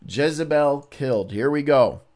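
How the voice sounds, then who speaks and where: A man's voice: mid-range voice, lively intonation, normal speed, darkish tone. A man reading the Bible aloud as a teacher, dramatizing the quotes and adding informal commentary. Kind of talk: animated Bible read-along with casual commentary.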